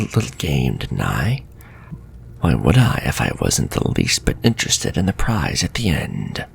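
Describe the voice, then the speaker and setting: A man voicing a sly, sadistic warlock villain in a flirtatious ASMR fantasy roleplay, with a slow, teasing, half-whispered delivery. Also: fairly low pitch, gravelly, heavily acted.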